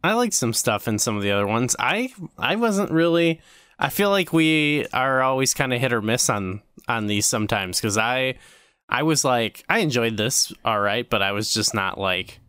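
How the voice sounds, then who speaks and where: A man chats casually as a podcast co-host, close and dry in sound. His voice is smooth and bright, slightly low, with a laid-back, hesitant manner. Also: animated, moderate pace, no room sound. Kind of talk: casual chat.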